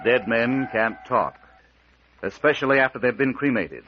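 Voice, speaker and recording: A man's voice: medium pitch, lively intonation, normal speed, faint music behind. Dramatic male radio narrator, muffled recording. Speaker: serial narrator.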